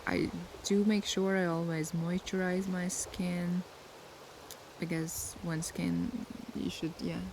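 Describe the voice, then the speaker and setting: A young woman chats softly in a relaxed ASMR Q&A, unhurried and reflective as she searches for words. Her voice stays level and flat, with a gravelly fry running through it. Steady sea noise washes behind her outdoors. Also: fairly low pitch. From Latvia. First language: Latvian. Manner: soft, unhurried and thoughtful, pausing to search for words. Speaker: ASMR creator. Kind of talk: casual ASMR Q&A chat. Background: sea waves.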